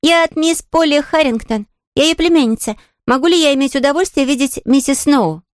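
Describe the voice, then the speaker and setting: A woman's voice: sits high, a little fast, no echo, studio quality, voice pushed up, close to an everyday voice. A woman voicing a polite, chatty, cheerful little girl in an audiobook, eager and artless. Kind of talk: audiobook character voice.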